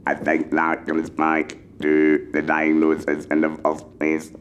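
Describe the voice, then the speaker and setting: A man speaking through an electrolarynx with a buzzing, mechanical monotone, carefully enunciating as he explains and gives advice in measured phrases. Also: very deep voice, smooth. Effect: mechanical electrolarynx vibration. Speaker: patient mentor for electrolarynx users. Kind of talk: explanatory talk giving advice.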